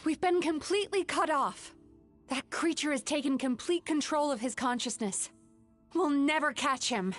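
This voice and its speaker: A composed young dream eater, voiced by a woman speaks gently and seriously in a slightly high voice. She sounds steady and resolute, like a video game heroine. Soft game music runs underneath. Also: moderate pace, natural voice.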